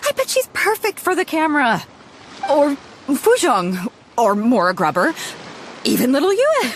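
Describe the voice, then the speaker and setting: A woman's voice: sits high, huge pitch swings, unhurried, slight background noise, exaggerated voice. An actress voicing a bold, teasing, confident female ship captain in a game cutscene. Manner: teasing, self-assured, laughs easily.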